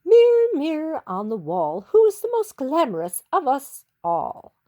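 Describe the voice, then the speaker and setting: Woman voicing a vain, haughty cat, swooping high. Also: huge pitch swings, measured pace, lo-fi sound, exaggerated voice. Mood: self-admiring. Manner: haughty, theatrical, self-admiring.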